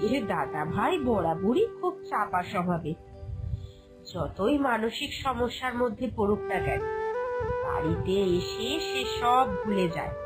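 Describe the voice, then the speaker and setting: A sensible village housewife, acted by a woman for a cartoon fairy tale, the elder of the family. She advises in a measured, cautious and encouraging manner, with lively pitch movement and music playing behind her. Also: lightly acted, low-quality recording. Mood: concerned, reasoning. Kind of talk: Bengali animated fairy-tale voice acting.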